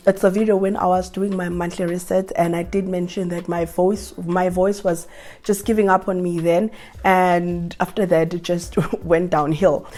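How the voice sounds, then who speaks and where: Hoarse South African woman vlogger. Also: medium pitch, mellow. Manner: earnest, repeats and restarts phrases. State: hoarse from flu. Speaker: vlogger giving job-application advice. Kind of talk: casual advice talk to camera.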